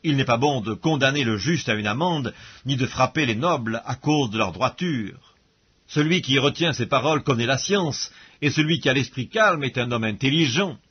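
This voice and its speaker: A man reads scripture aloud as an audiobook narrator, solemn and measured, with formal diction, on a dull recording. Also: medium pitch, expressive, slightly rough.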